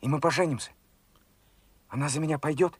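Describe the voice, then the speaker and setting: Husky, naive young revolutionary. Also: male voice, fairly high pitch, fairly even intonation, brisk, dry recording. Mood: excited, anxious. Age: young adult. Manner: simple, questioning, wondering. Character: eager, naive young revolutionary fighter questioning his father. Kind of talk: Soviet radio drama acting.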